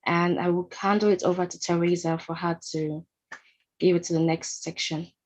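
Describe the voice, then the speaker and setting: A woman's voice: fairly low pitch, level tone, moderate pace, highs cut off. A woman moderating a session on a video call, speaking briefly and politely. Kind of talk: casual moderating.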